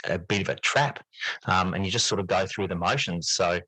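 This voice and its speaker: Male interview guest, lowish voice, close dry sound, thoughtful and conversational, feels his way through sentences. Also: fairly quick, pushed and bright, slightly rough, no echo, rough recording. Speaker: interview guest, teacher and writer. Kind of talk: reflective conversational interview answers.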